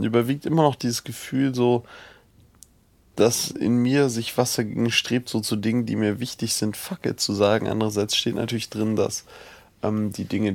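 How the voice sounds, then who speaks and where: A man's voice: fairly low pitch, creaky, no room sound. A man in his twenties, a student and journalist, recording a casual, hesitant audio diary full of fillers and restarts. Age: 20s. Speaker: student and journalist trying a self-help book.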